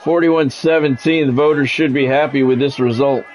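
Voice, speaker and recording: A man's voice: mid-range voice, fairly even intonation, moderate pace, darkish tone, a little hiss or hum. A man streaming a football video game, mixing sports play-by-play with casual, salty chat to viewers and swearing freely.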